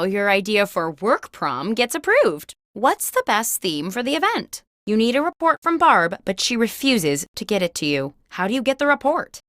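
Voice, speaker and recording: An actress playing a chirpy, wry corporate interviewer as a video game host, reading scripted prompts in a crisp, mock-professional manner. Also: female voice, mid-range voice, fairly bright tone, no echo, clean studio sound.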